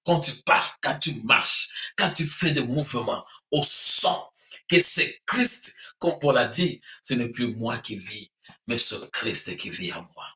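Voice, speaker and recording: A man's voice: medium pitch, slow pace, pushed and bright, roomy sound, rough recording. A man, preaching and teaching emphatically, often repeating his phrases. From Central Africa. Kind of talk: sermon-like teaching in a religious discussion.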